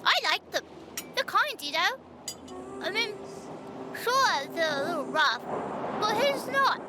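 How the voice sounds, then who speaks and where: A woman's voice: extremely high pitch, sing-song, takes it slowly, heavy background noise, full character voice. A woman playing a spirited, blunt and talkative young child in an audio adventure drama. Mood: cheerful, chatty. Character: spirited, chatty young child on a pirate ship.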